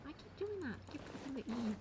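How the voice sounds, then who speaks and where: A woman's voice: sits high, very animated, quick pace. A woman streamer giving casual, slangy reactions to a game, empathetic and responsive. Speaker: gaming streamer. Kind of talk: casual reaction commentary over gameplay. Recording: noisy mic with game sound. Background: video game ambience.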